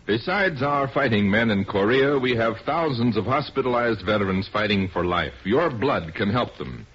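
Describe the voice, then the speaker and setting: An American man announces in formal, scripted 1950s radio style. His voice is slightly rough and husky, with measured phrasing, heard through a dull vintage broadcast recording. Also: lowish voice, lively intonation, fairly bright tone.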